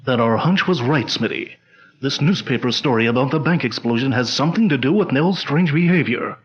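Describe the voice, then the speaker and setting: Commanding crime-fighting hero of vintage radio; declamatory, muffled old recording. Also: male voice, medium pitch, lively intonation, a little fast, husky. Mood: calm. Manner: firm, declamatory, authoritative. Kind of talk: 1940s radio acting.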